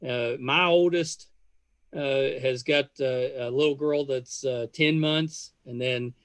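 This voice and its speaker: A man's voice: medium pitch, fairly even intonation, slow pace, no echo. A middle-aged man hosting a casual interview, speaking in a folksy, chatty way. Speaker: podcast host.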